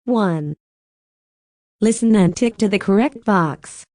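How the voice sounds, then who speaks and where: A woman reading numbered English textbook sentences in a slow, deliberate way. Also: medium pitch, a little mellow, no echo, studio quality. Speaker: audio narrator for an English textbook. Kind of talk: scripted textbook listening narration.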